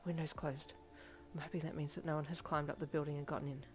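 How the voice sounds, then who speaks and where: A protective young man in a storybook drama, voiced by a woman. He is the watchful older brother, brisk and commanding in how he speaks. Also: sits low, little pitch movement, soft background music, lightly acted. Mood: wary.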